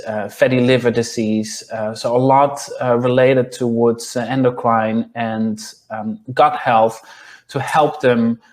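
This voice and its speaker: A man in his thirties from the Netherlands with a Dutch accent, speaking hesitantly with many ums as an interview guest on a video call from a small room. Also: medium pitch. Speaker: guest nutritionist.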